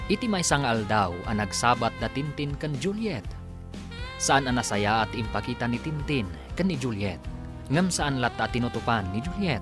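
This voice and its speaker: A man's voice: medium pitch, big pitch swings, normal speed. Ilocano guy doing radio drama narration, scripted credits read, music playing behind him. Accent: Filipino, Ilocano.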